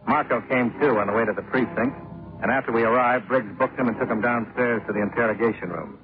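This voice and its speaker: A man's voice: medium pitch, fast talker, close to an everyday voice. An actor playing a wry, tough private investigator who narrates his own case in a clipped, hard-boiled 1950s radio drama style. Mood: matter-of-fact. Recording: old radio recording, narrow band, music behind.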